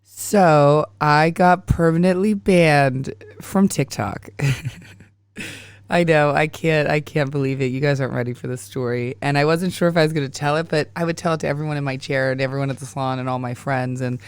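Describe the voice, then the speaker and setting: A woman in her thirties hosting a podcast, hoarse and raspy while getting over a sinus infection, chatting casually and laughing often. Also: low voice, fairly even intonation, normal speed, no echo, clean studio sound. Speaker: podcast host. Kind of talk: casual chat.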